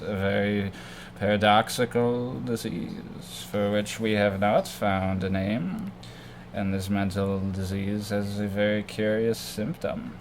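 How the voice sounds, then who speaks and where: Casting: man, lowish voice, very unhurried and halting, reading out a debate, faint noise behind. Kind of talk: reading aloud a debate transcript. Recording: faintly noisy amateur recording.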